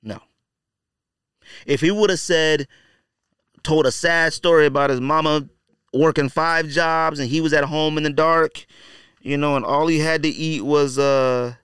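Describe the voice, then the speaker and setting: A man's voice: fairly high pitch, fairly even intonation, unhurried, pauses often, fairly bright tone, clear, resonant tone, no echo, clean studio sound. A man hosting a podcast, giving casual, opinionated commentary in a conversational, slangy, blunt way with emphatic repetition. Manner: conversational and slangy, frequently saying "you know" and "man". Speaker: podcast host.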